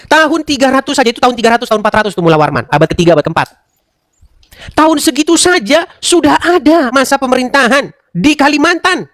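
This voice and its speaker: A man's voice: very high-pitched, sing-song, fast talker, fairly bright tone, dry recording, studio quality. A man hosting an online interfaith debate, lecturing in a mocking way and firing off rhetorical questions. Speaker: debate room host.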